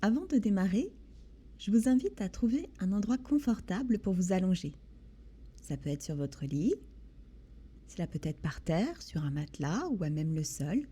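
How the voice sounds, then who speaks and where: A woman leads a guided body scan meditation in a soothing, gentle manner, with deliberate pauses between short phrases. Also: medium pitch. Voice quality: soft, breathy. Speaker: guided relaxation instructor.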